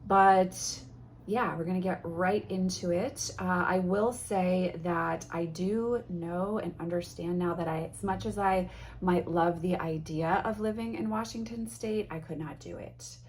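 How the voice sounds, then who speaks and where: A woman's voice: on the low side, unhurried, a little hiss or hum. A woman chatting casually and effusively to her viewers as a tarot vlogger, a little sniffly from allergies. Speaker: tarot content creator. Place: home.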